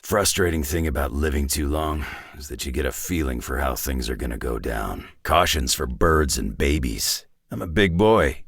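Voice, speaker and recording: A man voicing a gruff, grizzled, wry mutant brawler from a video game, with a gravelly growl and blunt, laconic, folksy delivery. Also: extremely low pitch, a bit bright, no room sound, exaggerated voice. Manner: blunt, dry, laconic tough-guy delivery with folksy slang. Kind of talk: scripted game character voice lines.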